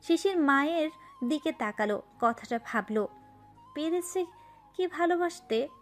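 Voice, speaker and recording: High-voiced woman narrator. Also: animated, unhurried, faint music behind. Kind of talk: scripted narration of a romantic story.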